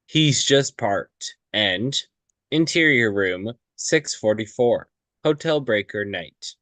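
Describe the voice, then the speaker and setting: A man narrates a screenplay at a table read with extremely slow, halting delivery. He breaks lines into short pieces and stumbles over words. The sound is dry, with little room echo. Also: lowish voice, animated, a bit bright. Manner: halting, stumbling sight-reading.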